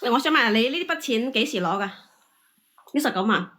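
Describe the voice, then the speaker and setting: A woman's voice: on the high side, expressive, normal speed, a bit bright. A woman debating on a call-in show, insistent and argumentative, often repeating her points. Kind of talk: heated casual debate.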